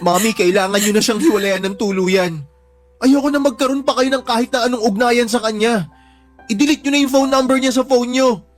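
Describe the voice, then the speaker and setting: A woman's voice: on the high side, fairly even intonation, brisk, close to an everyday voice. A woman playing a frightened, affectionate younger son in a radio drama, speaking in a fragile, pleading way. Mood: scared pleading. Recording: faint music bed behind the voice.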